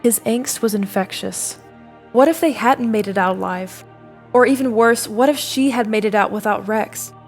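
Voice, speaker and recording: A woman giving scripted dramatic narration for an audio drama, telling the story in a measured, solemn way. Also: a little high, soft background music.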